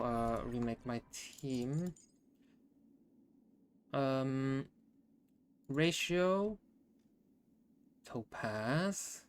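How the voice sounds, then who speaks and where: A man doing casual gaming commentary, reacting aloud and often repeating short phrases to himself. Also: mid-range voice. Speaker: game streamer. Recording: music behind the voice.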